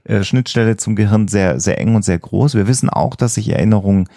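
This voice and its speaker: A man's voice: fairly low pitch, fairly quick, gravelly, dark tone, no echo, clean studio sound. A man who explains things as a podcast host, often rephrasing himself as he goes.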